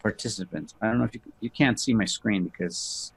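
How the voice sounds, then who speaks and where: A man giving a casual software walkthrough to others on a video call. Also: fairly low pitch, a little fast, slightly rough. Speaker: participant demonstrating software.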